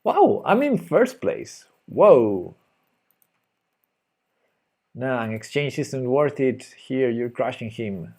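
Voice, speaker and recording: A man with a Spanish accent plays chess live and talks through his moves as he goes. His voice sits at a middling pitch, and he mutters and hesitates while he thinks, often trailing off into quiet asides. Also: big pitch swings, takes it slowly, pauses often. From Spain. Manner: thinking aloud, hesitant, muttering move names. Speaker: chess streamer.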